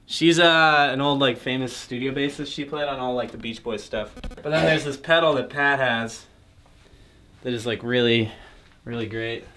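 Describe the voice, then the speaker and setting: A young man, a bassist and co-producer, talking easily with lots of fillers in a small recording studio. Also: normal speed, pushed and bright, slight background noise. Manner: easygoing, lots of "like" and "you know". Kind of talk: casual studio chat.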